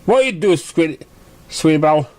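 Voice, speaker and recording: A man voicing an earnest, exasperated young country filly from a cartoon story, in a folksy, fretful, halting way. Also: on the high side, expressive, darkish tone. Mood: alarmed, scolding.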